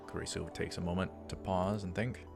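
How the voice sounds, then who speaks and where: Low-voiced man narrating a let's play. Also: steady tone, fairly quick, gravelly. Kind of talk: narrated visual novel read-through with commentary. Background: music.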